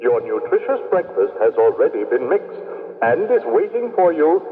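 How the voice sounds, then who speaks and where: An actor playing a coldly formal automated government machine in a radio drama, making clipped official announcements. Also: male voice, on the high side, big pitch swings, normal speed. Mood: stern announcing. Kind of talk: 1980s radio drama acting. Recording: muffled phone-like old radio recording, long reverb.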